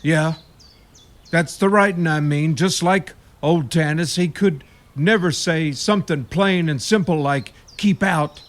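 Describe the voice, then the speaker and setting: Slow, eerie rural caretaker played by a man, echoing. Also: fairly high pitch, lightly acted. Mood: folksy, wary. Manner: halting, ominous, folksy storytelling. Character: eerie rural male caretaker of a haunted tomb. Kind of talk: radio play horror storytelling. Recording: reverberant radio drama, audible background noise.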